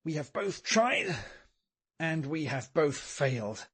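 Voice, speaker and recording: A man's voice: on the high side, big pitch swings, slow, a bit bright, slightly rough, voice pushed up, exaggerated voice. A man voicing a deferential police detective in a dramatic audiobook, politely and earnestly pleading his case. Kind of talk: dramatic audiobook character reading.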